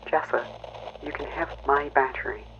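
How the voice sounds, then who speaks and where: A wounded young imperial woman pilot, acted by a man in a sci-fi audio drama. The voice is gravelly and clipped, prickly but anxious underneath. It comes through a muffled radio with faint noise. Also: mid-range voice, fairly even intonation, voice pushed up. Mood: anxious, hurting. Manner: clipped, guarded, halting. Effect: radio filter. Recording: fuzzy band-limited radio with faint noise.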